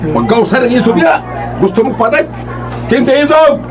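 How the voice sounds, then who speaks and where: An actor playing a menacing street troublemaker running amok in a Cebuano radio drama, aggressively challenging everyone around. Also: male voice, very high-pitched, sing-song, a little fast, a crowd in the background. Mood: threatening rage.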